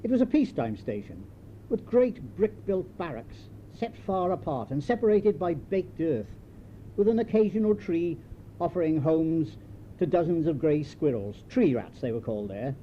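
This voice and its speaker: An older British man reading his own written memoir in a measured, wry, understated storytelling manner. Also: a little high, expressive. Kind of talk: scripted memoir narration. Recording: dull lo-fi home tape, faint noise.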